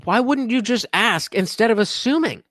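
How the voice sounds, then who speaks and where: A man hosting a live stream, reacting to a debate clip with quick, profane asides. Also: high voice, animated, fairly bright tone, dry recording, studio quality.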